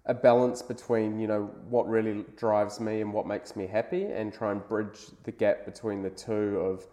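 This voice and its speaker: Relaxed New Zealand man, mellow low voice. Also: normal speed. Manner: relaxed, lots of "um" and "you know". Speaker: guest, brand founder. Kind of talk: casual interview chat. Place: living room at home.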